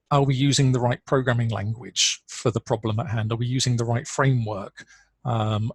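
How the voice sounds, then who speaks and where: A man giving an online conference talk in a relaxed, conversational way, with fillers, over a video call. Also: mid-range voice, husky, dry recording. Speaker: conference speaker.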